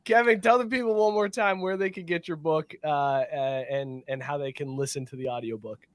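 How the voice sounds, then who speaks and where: A man's voice: fairly high pitch, moderate pace, no room sound. A man hosting a podcast in casual conversation. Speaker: podcast host.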